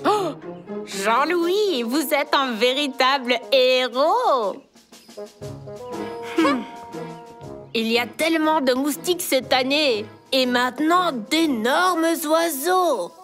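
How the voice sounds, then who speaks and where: Anxious cartoon granny, high voice, slow dramatic delivery, admiring and easily alarmed. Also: female voice, expressive, over music. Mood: admiring, fretful. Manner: dramatic, fretful. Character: fretful, admiring grandmother in a village. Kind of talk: French cartoon dub acting.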